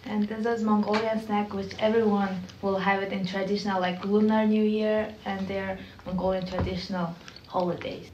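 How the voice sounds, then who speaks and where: A woman's voice: medium pitch, little pitch movement, normal speed, muffled recording. Echoey woman explaining over music. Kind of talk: casual explanation. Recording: roomy echo, music behind.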